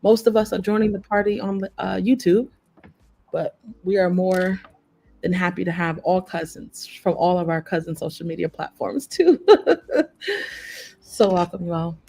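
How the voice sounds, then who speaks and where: A woman's voice: lowish voice, fairly even intonation, moderate pace, gravelly, warm tone. Upbeat woman hosting an online workshop. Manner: upbeat, effusive, lots of praise words. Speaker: workshop host.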